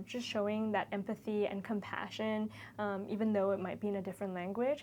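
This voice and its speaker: A woman in her twenties who grew up in Malaysia gives a reflective, interview-style testimonial. Her voice sits at an average pitch for a woman. She talks earnestly, linking loose phrases as she thinks back over her experience. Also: warm tone, a little hiss or hum. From Kuala Lumpur, Malaysia. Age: 20s. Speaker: medical student-to-be sharing a shadowing experience.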